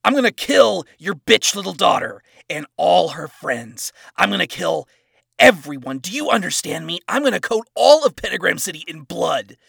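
A man voicing a furious, vengeful male fallen angel who shouts threats in a raised voice. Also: completely flat delivery, slow pace, gravelly, few pauses, breathy edge, dry recording, clean studio sound, heavily acted. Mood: menacing rage. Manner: loud, threatening, raised pitch. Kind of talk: reading fan fiction aloud.

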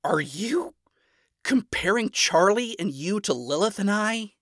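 Man putting on an indignant, proud devil, forceful voice. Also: high voice, lively intonation, measured pace, no echo, clean studio sound, voice pushed up. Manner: indignant, defensive. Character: proud, defensive devil father, irritable and wounded.

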